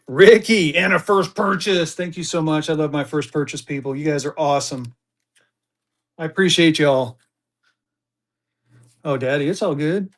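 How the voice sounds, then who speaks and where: A fairly high-voiced man hosts a live auction stream in casual banter. He chats along with easy drawling asides, then jumps up in pitch and volume to cheer his viewers on. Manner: excitable hype bursts, chatty, drawling asides. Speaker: livestream auction seller.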